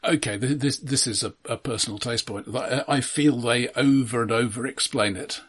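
Husky man, wry film critic. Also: medium pitch, moderate pace, a bit bright. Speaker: film discussion podcast co-host. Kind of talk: casual critical discussion.

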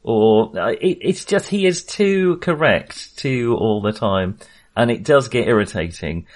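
A man's voice: mid-range voice, expressive, a little slow. A man co-hosting a casual film discussion in a conversational, hedging manner. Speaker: film podcast co-host.

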